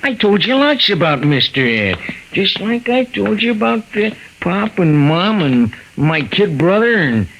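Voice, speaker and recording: An actor playing a slow-witted, loyal night watchman with a halting, stammering delivery in 1940s radio style. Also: high voice, very animated, vocal fry, fairly bright tone, lo-fi sound, full character voice. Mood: wistful, simple. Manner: simple, halting, repeats words and stammers. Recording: noisy, crackly 1940s radio recording.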